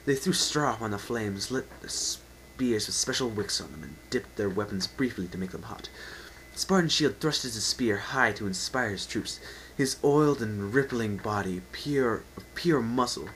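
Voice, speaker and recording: A man's voice: lowish voice, lively intonation, normal speed, a bit bright, a little hiss or hum, rough recording. A man narrating an unedited dramatic story reading with theatrical, emphatic delivery. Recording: amateur home recording with faint whine.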